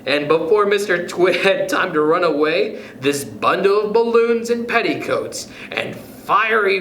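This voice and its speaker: Animated, slow-paced male children's storyteller. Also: high-pitched. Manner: dramatic, deliberate phrasing with pauses. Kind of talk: expressive read-aloud storytelling for children. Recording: echoey room with background noise.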